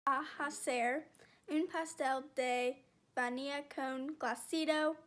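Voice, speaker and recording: A young woman playing a male student contestant in a school skit, speaking slow, careful learner's Spanish. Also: high voice, fairly even intonation, fairly bright tone. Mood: earnest. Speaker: baking contestant.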